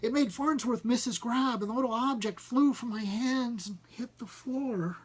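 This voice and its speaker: Husky-voiced man reading a story expressively. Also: very high voice, moderate pace, low-quality recording. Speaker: audiobook narrator.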